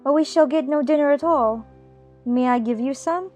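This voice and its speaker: A woman's voice: high-pitched, moderate pace, a little mellow, soft background music, pitched above the performer's own voice, lightly acted. A woman voicing a polite, curious young girl in a dramatized children's audiobook, earnest and wondering. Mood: polite, determined.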